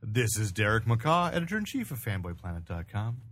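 A man's voice: lowish voice, very animated, brisk, fairly bright tone, no room sound. A man hosting a podcast in casual chat, with a wry, teasing way of talking. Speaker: podcast host and editor-in-chief.

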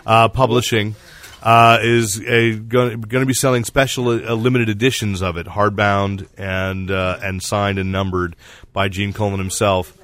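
A man hosting a casual comics podcast, chatting in a halting, digressive way with some teasing banter. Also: on the low side, flat delivery, fairly bright tone. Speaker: podcast host and editor-in-chief.

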